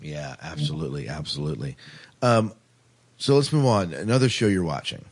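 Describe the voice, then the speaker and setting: A man's voice: extremely low pitch, a little fast, breathy edge. A man hosting a casual podcast chat about television, informal and enthusiastic in the way he talks. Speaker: podcast host.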